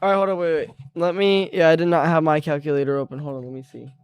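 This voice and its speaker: A man's voice: fairly high pitch, quick pace, clean tone. A young man on a live stream chatting casually and easygoing about his work day. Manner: relaxed, easygoing, trails off mid-sentence. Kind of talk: casual chat.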